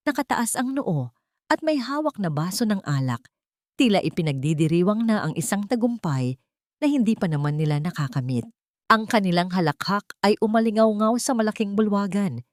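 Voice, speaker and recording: A woman reading a scripted dramatic story in a deliberate, melodramatic storytelling manner. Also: fairly low pitch, a little mellow, no echo, clean studio sound. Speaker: storyteller, narrator.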